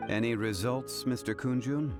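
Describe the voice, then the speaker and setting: An actor playing a wise, composed gentleman scholar in a video game, speaking in a formal, measured, deliberate way. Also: medium pitch, lightly acted. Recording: faint background music.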